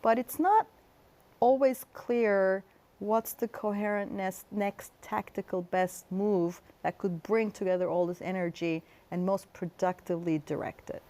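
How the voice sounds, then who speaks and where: A woman's voice: mid-range voice, steady tone, unhurried, dark tone. Middle-aged woman from turkey talking it through in an interview, lots of um and restarts. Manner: thoughtful, explanatory, with fillers and restarts. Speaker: guest scholar. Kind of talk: interview answers.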